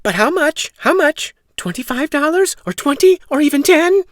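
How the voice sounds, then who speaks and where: A man's voice: extremely high pitch, expressive, moderate pace, fairly bright tone, no echo, clean studio sound, pitched above the performer's own voice. A man voicing a poor widowed mother, fretful and scolding, in an insistent, exclaiming way. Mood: eager, pressing.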